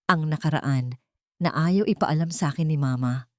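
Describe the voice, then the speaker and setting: A troubled young man, as a woman narrator voices him, speaks in a low, hushed, urgent tone. His questions come slowly and with weight. The sound is dry and close. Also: no room sound, natural voice. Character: troubled young adult man seeking truth.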